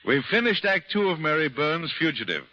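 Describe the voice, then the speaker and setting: A man's voice: fairly high pitch, very animated, brisk, noisy background. A man hosting a radio show, reading a scripted introduction and interviewing a guest in a genial, joking way, on a dull old broadcast recording. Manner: genial, joking, reads smoothly.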